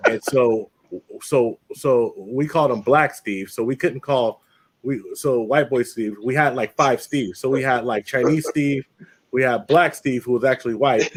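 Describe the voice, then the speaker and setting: A man hosting a livestream in a loose, casual way, telling stories with plenty of fillers and restarts. He slips into quick put-on voices for the people in his anecdotes. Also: mid-range voice, breathy edge. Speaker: livestream host. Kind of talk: casual storytelling chat.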